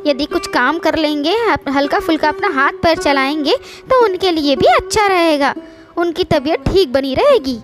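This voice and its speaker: A woman's voice: high voice, expressive, normal speed, over music, pitched above the performer's own voice. A woman voicing a scornful, bossy young daughter-in-law in a moral story, scolding and sarcastic. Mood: scornful, complaining. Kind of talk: Hindi moral story voice acting.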